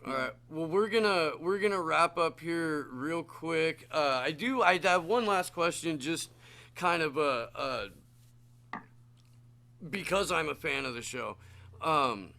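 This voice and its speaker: Slow-talking, bright-voiced American man. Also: on the high side, lively intonation, creaky. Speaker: podcast host. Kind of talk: casual podcast chat.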